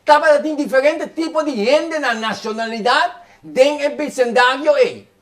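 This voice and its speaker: A man's voice: very high-pitched, unhurried, fairly bright tone. A man preacher delivering a fervent sermon, repeating phrases as he builds his point.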